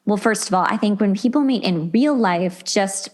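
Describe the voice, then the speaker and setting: A woman's voice: medium pitch, lively intonation, normal speed. An enthusiastic woman in middle age hosts a casual interview podcast. She speaks in an effusive, conversational way, with an ordinary mid-range voice. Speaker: podcast host.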